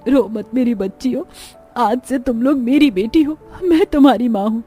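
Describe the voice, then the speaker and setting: A woman's voice: a little high, normal speed, mellow, breathy edge, soft background music. A woman voicing a poor, overworked mother in a cartoon story, plaintive and melodramatic. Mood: tender. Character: poor, overworked, long-suffering mother of many daughters.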